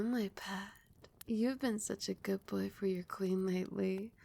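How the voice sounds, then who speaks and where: A woman voicing a teasing, doting queen who dotes on her servant in a sultry, coaxing audio roleplay, at times dropping to a soft whisper. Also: medium pitch, creaky. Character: teasing, doting adult queen, dominant mistress.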